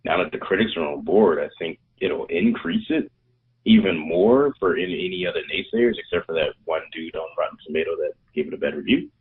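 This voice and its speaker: A man's voice: low-pitched, lively intonation, brisk, breathy edge. A man co-hosting a casual movie podcast, blunt and sarcastic in his opinions. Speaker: podcast co-host. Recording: tinny phone-like line.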